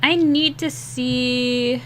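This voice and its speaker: Tired young woman chatting while she draws, kinda rough and scratchy, high-ish voice, laughs at herself a lot. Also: fairly even intonation, drawn-out words. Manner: rambling, giggly, self-deprecating. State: sleep-deprived. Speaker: digital artist streaming a drawing session. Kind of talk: casual chat while drawing.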